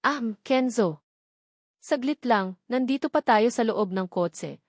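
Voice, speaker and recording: Woman reading as a playful filipino fiancee, coy, taglish, bit higher voice, super dry audio. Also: expressive, slow, dry recording, full character voice. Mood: coy protest. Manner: mixes Tagalog and English. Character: young fiancée, playful, coyly protesting to her lover.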